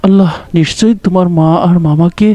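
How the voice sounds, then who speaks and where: A man voicing a kind, gentle doctor in a cartoon, speaking softly and reassuringly. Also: sits high, fast talker, no echo, natural voice. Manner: gentle, soothing. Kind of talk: cartoon voice acting.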